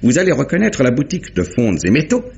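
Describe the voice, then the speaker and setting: An actor playing a genial, methodical police inspector, recapping deliberately in a theatrical mid-century French radio mystery manner. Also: male voice, medium pitch, sing-song, vocal fry, a little mellow. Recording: dull vintage radio drama recording.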